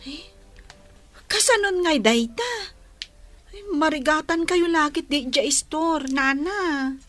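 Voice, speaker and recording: A woman plays a young Ilocano Filipino former housemaid in a radio drama. Her very high voice moves slowly, plaintive and defiant while staying polite. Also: animated, fairly bright tone, no echo, faint music behind, full character voice. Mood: aggrieved.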